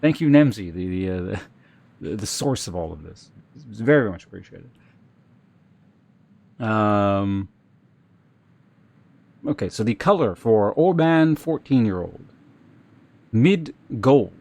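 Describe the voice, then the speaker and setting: A man hosting a livestream, chatting casually and reading aloud in a halting, measured way. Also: fairly low pitch, expressive, vocal fry, a little mellow. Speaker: live streamer host. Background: music.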